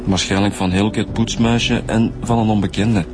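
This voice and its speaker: An actor with a Flemish accent playing a plain-spoken police inspector, colloquial and matter-of-fact in a radio drama. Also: male voice, on the low side, steady tone, normal speed, background noise, lightly acted. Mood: reporting findings. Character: informal, plain-spoken police detective inspector, practical junior colleague.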